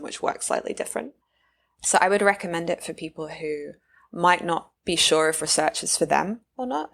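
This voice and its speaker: A woman's voice: low voice, creaky. A British woman in her thirties, a researcher from the UK, talking through her career path as a podcast guest, with frequent fillers. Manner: thoughtful, many fillers and restarts. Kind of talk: casual interview talk.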